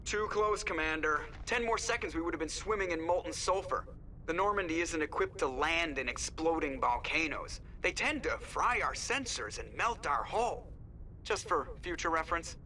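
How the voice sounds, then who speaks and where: Game sidekick: snarky pilot, higher-pitched, sharp bright tone, sounds distant from the mic. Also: male voice, animated, normal speed. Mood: sarcastic. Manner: sarcastic, wry. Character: wisecracking, sarcastic male starship pilot. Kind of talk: video game dialogue acting. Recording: distant voice with faint rumble.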